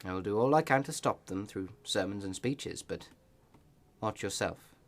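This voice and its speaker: A man acting a loyal country clergyman in a radio drama. His voice is low, his phrasing measured and eloquent, with a sly wryness. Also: expressive. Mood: solemn warning. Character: loyal, wry village reverend, concerned old friend.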